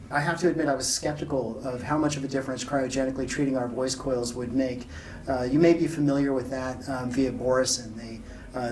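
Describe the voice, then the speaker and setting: A man being interviewed at a trade show, explaining technical details in a measured, informal way. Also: mid-range voice, a little mellow, distant mic. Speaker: loudspeaker company founder being interviewed. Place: exhibit room at an audio show. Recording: off-mic, noisy exhibit room.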